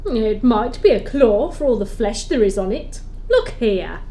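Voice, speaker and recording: Woman playing a slow, grave, stern Christmas spirit. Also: on the high side, animated, mellow. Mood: solemn. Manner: grave, deliberate, declamatory. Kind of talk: amateur audio play acting.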